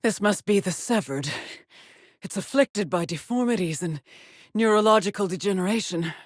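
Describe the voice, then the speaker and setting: An actress playing a haunted, weary woman astronaut stranded alone on an alien world in a video game, with a breathy voice and a grave, brooding delivery. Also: mid-range voice, normal speed, dry recording, clean studio sound, close to an everyday voice. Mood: grave, contemplative. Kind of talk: dramatic game voice lines, monologue logs.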